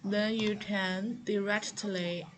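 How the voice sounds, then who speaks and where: A woman giving an unscripted product tutorial, explaining step by step in a halting, hesitant manner. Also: medium pitch, steady tone. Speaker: product demonstrator.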